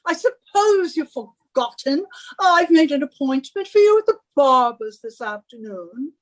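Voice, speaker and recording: A woman's voice: sits high, expressive, unhurried, poor audio. A woman playing a bossy, scornful wife who nags and scolds in a suspense radio play. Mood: bossy, impatient. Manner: imperious, scolding, henpecking. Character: bossy, nagging British wife of a professor, scornful.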